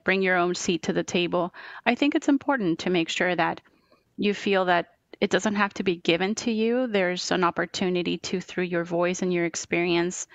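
A woman's voice: lowish voice, a bit bright, no echo, dull audio. A middle-aged woman from Colombia answering interview questions earnestly, often repeating words and checking in with "right?". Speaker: interview guest.

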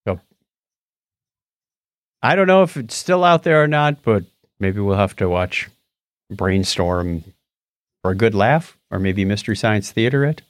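A man co-hosting a podcast in casual chat, sometimes halting as he searches for words. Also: on the low side, expressive, no echo, studio quality. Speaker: podcast co-host. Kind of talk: casual chat about movies and tech.

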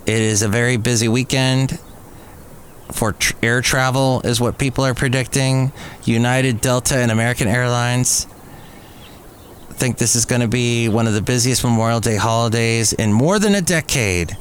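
A man with a mid-pitched voice hosts a podcast, reading through news items in a conversational way. He drops in casual, wry asides as he goes. Faint background noise sits behind his voice. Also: normal speed. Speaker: podcast host.

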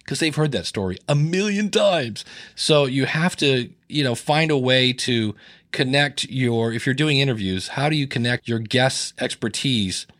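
A man of middling pitch talks on a podcast, looping through anecdotes and quoting others with a lifted voice. The sound is dry and close, with no room around it. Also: measured pace, no echo. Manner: storytelling, animated with rising pitch in quoted lines. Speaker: podcast guest. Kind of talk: casual interview talk.

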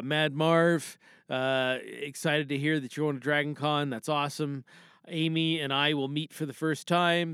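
A man's voice: mid-range voice, a bit bright, smooth, no echo. Rambling man in a dry recording. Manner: rambling, loose, self-deprecating. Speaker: podcast host. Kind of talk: rambling casual solo podcast chat.

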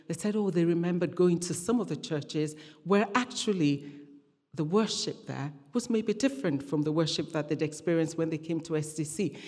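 A woman's voice: sits low, warm tone. A woman guest preacher giving a conversational, storytelling sermon, earnest and fond of repeating phrases and voicing dialogue. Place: large hall or church, preaching to congregation. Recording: reverberant hall sound.

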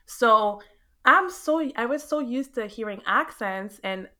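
A young woman from Montreal with a Quebec French accent, French her first language, chatting in an interview as a realtor, animated and quick to laugh. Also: on the high side. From Montreal, Canada. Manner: animated storyteller, laughs often, acts out quotes. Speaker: guest, realtor. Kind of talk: casual interview chat.

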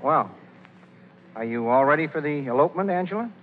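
A man's voice: on the high side, lively intonation, fairly quick, narrow, tinny audio, close to an everyday voice. An actor playing a wry, obliging young man in a 1930s radio comedy. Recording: reverberant, thin, noisy 1930s radio broadcast.

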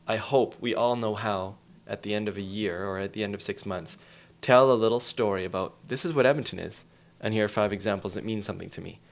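A man speaking as an interview guest, explaining things in an emphatic, storytelling way with acted-out quotes. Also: on the low side.

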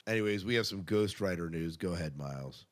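A man's voice: deep voice, fast talker. A man hosting a podcast, chatting casually about movie news and putting questions to his co-host. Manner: conversational, tosses questions to co-host.

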